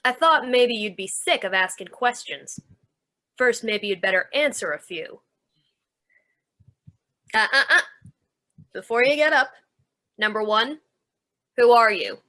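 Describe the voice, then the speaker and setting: A woman's voice: on the high side, lively intonation, unhurried, long pauses, sharp tone. A woman playing a tough, suspicious carnival manager, brusque and slangy, in old-time radio noir style. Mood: needling, threatening.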